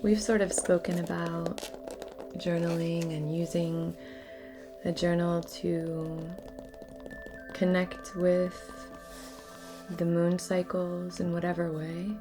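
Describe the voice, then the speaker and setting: A woman speaking softly as host of a guided spiritual ritual, often slipping into a near-whisper, in a meandering, casual chat. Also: fairly low pitch, little pitch movement, takes it slowly, long pauses. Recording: music clearly under the voice. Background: music.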